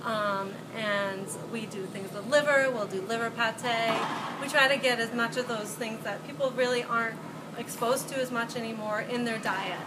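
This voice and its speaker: A woman's voice: fairly high pitch, steady tone, normal speed. A young woman who runs a catering business, explaining it in a friendly interview, filmed from across an indoor room. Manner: friendly, explanatory, some ums. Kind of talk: casual interview. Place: bright indoor room with glass wall. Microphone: far camera. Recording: camera audio with loud background noise.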